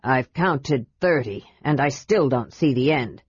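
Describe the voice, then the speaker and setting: A woman doing a low grumpy old dwarf. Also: expressive, unhurried, no room sound, full character voice. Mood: irritable. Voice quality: hoarse. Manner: growling, irritable. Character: grumpy old male dwarf, gruff and weary. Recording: dull lo-fi recording.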